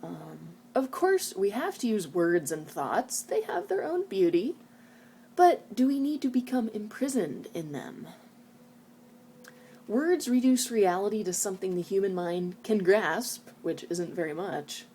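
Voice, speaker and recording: A woman's voice: medium pitch, big pitch swings, unhurried, warm tone. A woman vlogger speaking earnestly and reflectively, as if sharing thoughts from a book. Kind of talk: reading book passages aloud and informal reflective talk. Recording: noticeable background noise.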